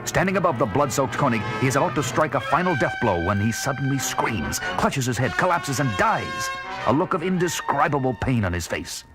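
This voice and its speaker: An actor playing a grave narrator, telling a science fiction audio drama in scripted, suspenseful narration. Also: male voice, mid-range voice, very animated, fairly quick, natural voice. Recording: loud music under voice.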